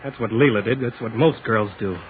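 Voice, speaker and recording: A man's voice: on the low side, fairly quick, slight background noise, lightly acted. An actor playing an eager, lonely young American man in 1940s radio drama style. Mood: hurt, bitter. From United States. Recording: tinny 1940s radio recording, crowd behind.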